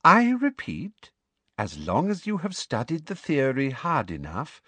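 A man's voice: mid-range voice, huge pitch swings, slow pace, fairly bright tone, no room sound, voice pushed up, full character voice. A man voicing a smug, sickly-sweet middle-aged female teacher from a storybook, with a honeyed trill and a precise, dismissive manner. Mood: coolly dismissive. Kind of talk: audiobook character voice.

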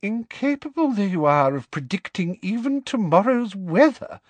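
A man voicing a cruel woman official with a high girlish voice and cold, precise diction. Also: sing-song, moderate pace, no room sound. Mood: contemptuous. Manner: sweetly cold, precise, gloating.

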